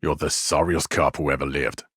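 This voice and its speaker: A man's voice: very deep voice, fairly even intonation, moderate pace, a bit bright, breathy edge, no echo, heavily acted. A man voicing a bitter, exasperated police detective in a video game, with dry, cutting sarcasm and weary contempt. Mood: bitter, accusing. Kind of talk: dramatic game dialogue.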